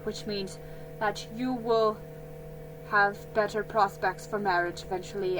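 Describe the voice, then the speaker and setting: A woman voicing a formal colonial father who speaks in a slow, measured way. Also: medium pitch, lightly acted, poor audio.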